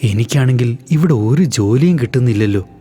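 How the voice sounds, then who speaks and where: A man voicing a hardworking young village laborer who talks in an earnest, pleading way, for an animated storybook. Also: medium pitch, brisk, close to an everyday voice.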